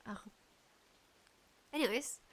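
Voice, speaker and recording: A woman with a nasal, congested voice hosting a casual, rambling solo podcast in an animated, pleading way with self-deprecating asides. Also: takes it slowly. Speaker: podcast host.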